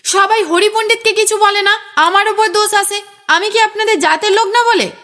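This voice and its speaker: A woman's voice: extremely high pitch, normal speed, a bit bright, pitched above the performer's own voice. A woman voicing an angry, defensive village lineman in an indignant outburst for an audio story. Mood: furious, defensive.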